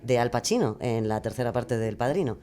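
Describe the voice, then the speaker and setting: Mujer española de voz muy grave. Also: female voice, rumbling low, lively intonation, brisk, mellow. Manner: rambling, hesitant, thinking aloud. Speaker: podcast guest, true crime podcaster. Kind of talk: casual, animated discussion.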